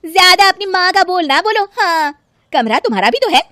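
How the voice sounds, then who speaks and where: Lady doing a bratty lazy young bahu for a cartoon, super high, talks back, dismissive. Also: sing-song, brisk, bright, forceful tone, no room sound, clean studio sound, full character voice. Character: lazy, defiant, sulky young daughter-in-law.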